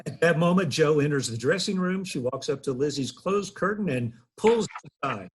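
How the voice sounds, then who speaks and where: A man with a husky voice narrating stage directions for a table read. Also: a little high, lively intonation, moderate pace.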